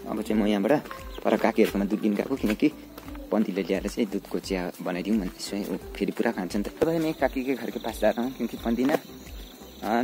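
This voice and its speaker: A man's voice: lowish voice, darkish tone. A young man narrating a casual village vlog while filming on a handheld phone, chatting with family as he goes. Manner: chatty, mixes asides to family with narration to camera. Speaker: village vlogger. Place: rural hillside house and village, indoors and out.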